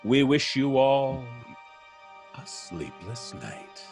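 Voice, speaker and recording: A man hosting a live show, speaking in a measured, theatrical way as he chats with performers. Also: mid-range voice, sing-song, soft background music. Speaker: podcast host. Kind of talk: warm-up chat after a live show.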